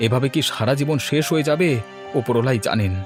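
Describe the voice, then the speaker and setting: Downtrodden cartoon labourer male actor: lamenting, weary words delivered quickly in an average-pitched voice. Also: over music, close to an everyday voice. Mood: despairing. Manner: fretful, lamenting. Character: weary, downtrodden village labourer man, worried breadwinner. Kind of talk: cartoon dialogue.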